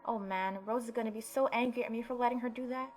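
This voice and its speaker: A woman let's player narrating a visual novel and adding playful, expressive casual commentary. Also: fairly high pitch, fast, soft background music. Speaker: let's player reading and reacting.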